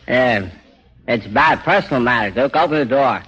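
An actor playing an uneasy American flophouse innkeeper with hesitant, slangy speech in a 1940s radio crime drama. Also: male voice, medium pitch, animated, brisk, background noise, natural voice. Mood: nervous. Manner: hesitant, folksy tough slang. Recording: muffled 1940s radio recording.

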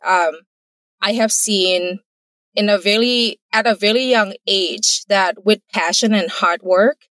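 A woman's voice: mid-range voice, a bit bright, no room sound. Earnest Thai-accented woman entrepreneur. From Thailand. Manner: earnest, careful, laughs easily. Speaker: entrepreneur, guest. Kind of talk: casual interview answers.

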